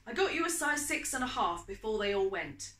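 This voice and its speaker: A woman's voice: a little high, sing-song, fairly quick, fairly bright tone, reverberant. A woman voicing a confident, friendly male firefighter who flirts, teases and reassures in a storybook romance. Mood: teasing. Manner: teasing, reassuring. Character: confident, flirty, friendly tall fireman on a date.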